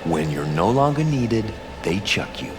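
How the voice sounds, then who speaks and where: A deep-voiced man playing a smug corporate agent in a cyberpunk game. He talks in a measured, knowing, persuasive way with music behind. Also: very animated. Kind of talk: video game dramatic acting.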